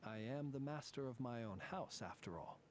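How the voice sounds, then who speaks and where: An ancient male fae living as a human guard in a video game, voiced by a man. He speaks in a measured, formal way at a middling pitch, sometimes dropping to near whispers. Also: noisy background, lightly acted. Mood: solemn. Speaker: lightkeeper guard.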